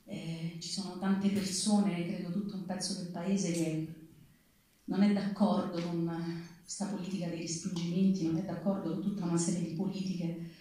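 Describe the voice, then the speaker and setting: A woman's voice: fairly low pitch, flat delivery, normal speed, mellow. A woman giving an unscripted welcome speech in a theatre, hesitant and earnest, a little nervous and moved. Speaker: theatre representative welcoming the audience. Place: theatre, live event. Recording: distant, echoey hall with background noise.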